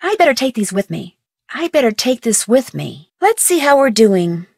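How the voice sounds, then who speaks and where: A woman voicing a plucky, curious young detective in a video game, with an American accent and perky, clearly enunciated, expressive delivery. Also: on the high side, moderate pace, no echo, studio quality, heavily acted. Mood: musing, focused. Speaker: game character and tutorial guide.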